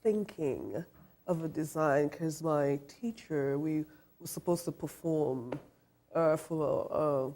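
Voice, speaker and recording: Low-voiced soft-spoken woman designer. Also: slow. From Trinidad and Tobago. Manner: soft-spoken, thoughtful, many ums and uhs. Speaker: fashion designer. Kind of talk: interview guest.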